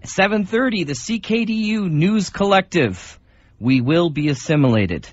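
Husky man bantering on radio. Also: a little high, expressive, normal speed, no room sound. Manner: rambling, jokey, digressive. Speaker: community radio host. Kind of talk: casual unscripted co-host banter. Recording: dull lo-fi radio recording.

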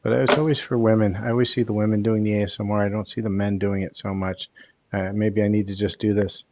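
An older man hosting a live card reading over a headset, speaking in a calm, soothing, unhurried way. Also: on the low side, no room sound. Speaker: tarot card reader.

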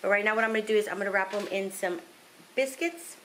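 A middle-aged woman talks easily into a handheld camera at home, her voice at an ordinary middle pitch. She rambles in a relaxed, chatty way, sprinkling in ums and likes. A faint hum of household noise sits behind her. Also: quick pace. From South Texas. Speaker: vlogger. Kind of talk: casual home vlog chat. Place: home living room and kitchen, indoors. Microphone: handheld camera close to face.

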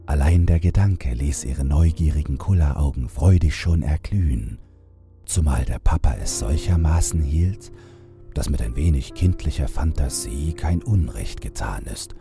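Very deep, gravelly horror narrator man. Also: animated, over music. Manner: slow, measured, ornate storytelling.

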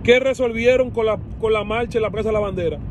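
Heated high-voiced Dominican man ranting. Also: quick pace. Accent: Dominican Spanish. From Dominican Republic. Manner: heated, rhetorical questions, blunt. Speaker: vlogger. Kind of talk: political rant to camera. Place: inside a parked car. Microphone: phone at arm's length. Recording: phone audio with clear background noise.

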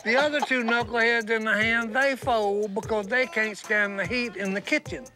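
Older guy, high pitched, rambling storyteller, music going behind him. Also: fairly even intonation, normal speed. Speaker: reality show cast member. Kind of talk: casual banter.

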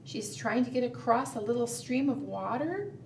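A middle-aged woman telling a picture-book story to preschoolers in simple, expressive language, often asking the listeners questions. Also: fairly high pitch, normal speed, gravelly. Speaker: children's librarian and storytime host. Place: indoor room, book shown to camera. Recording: roomy, distant mic, background noise.

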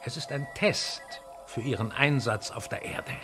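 An actor playing a gloating mad scientist villain in an audio drama, mockingly polite and theatrical. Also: male voice, medium pitch, unhurried, fairly bright tone, faint music behind, lightly acted. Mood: mocking, menacing. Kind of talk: German audio drama acting.

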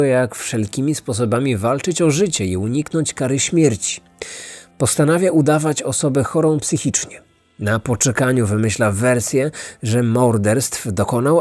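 A man narrates a true crime story as a podcast host. His voice sits at an average male pitch and follows a script. Music plays behind him. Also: darkish tone.

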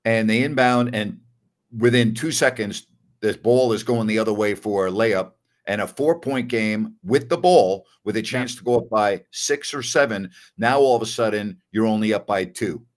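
A man's voice: lowish voice, moderate pace. Older man hosting a postgame sports chat. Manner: emphatic, incredulous about the game. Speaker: host. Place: home office, video call.

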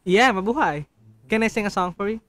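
A man's voice: high-pitched, quick pace, fairly bright tone. A shy, polite man in his twenties from the Philippines, chatting with strangers on a video call between songs. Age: 20s. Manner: shy, polite, repeats thanks. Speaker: singing vlogger on video chat. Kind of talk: casual chat with strangers, singing with guitar. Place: bedroom with pink light, indoors. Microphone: studio mic on stand. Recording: guitar music behind.

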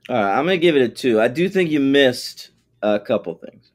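A man's voice: mid-range voice, expressive, a little fast. A man co-hosting a podcast, chatting in a laid-back, profane way and sharing trivia about the show. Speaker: podcast co-host. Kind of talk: casual episode recap chat.

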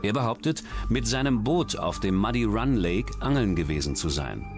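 Deep-voiced, animated male documentary narrator. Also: moderate pace, music in the background. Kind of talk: scripted true-crime narration.

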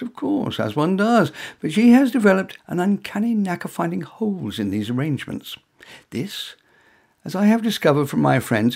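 A man's voice: mid-range voice, gravelly, a little mellow, husky. A middle-aged man reading his own short story in the first person, in a dry, wry, conversational way, full of asides and hesitations.

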